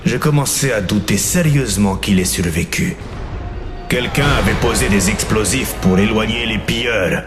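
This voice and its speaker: Low-voiced, wry ex-enemy soldier played by an actor. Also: male voice, moderate pace, over music, natural voice. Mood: grim recounting. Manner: sardonic, understated. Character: jaded former enemy soldier recounting a war mission, wry. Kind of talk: dramatic game narration and dialogue.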